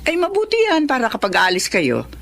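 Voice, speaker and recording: A woman's voice: fairly high pitch, wildly swooping pitch, brisk, gravelly, fairly bright tone, heavily acted. An actress playing a chatty, mild, approving older mother in a radio drama. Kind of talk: Tagalog radio drama acting.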